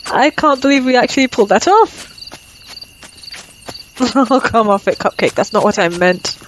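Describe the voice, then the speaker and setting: A woman's voice: fairly high pitch, lively intonation, normal speed, a little hiss or hum, lightly acted. A woman playing a cocky, flirtatious female vampire in an ASMR roleplay, sardonic and playful. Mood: exhilarated, teasing. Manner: sardonic, playful, casually swearing. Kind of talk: ASMR roleplay audio drama.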